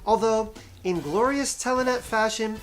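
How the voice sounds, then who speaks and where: A woman's voice: a little high, normal speed, soft background music. A woman narrating a scripted video game review as its host, with wry, sarcastic asides and emphatic stresses.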